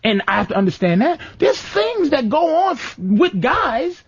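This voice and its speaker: A man's voice: very high voice, big pitch swings, moderate pace, dull audio. Brash profane man ranting on radio. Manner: brash, profane, mimics his girlfriend in whiny voices. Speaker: radio show host. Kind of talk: comedic relationship rant.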